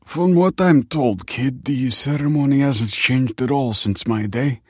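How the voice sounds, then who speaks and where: A man voicing a wry, kindly old grandfather in an audiobook, with a husky voice and a reassuring manner. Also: medium pitch, measured pace, no room sound, studio quality, pitched above the performer's own voice. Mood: wry, amused. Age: older. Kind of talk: audiobook character dialogue.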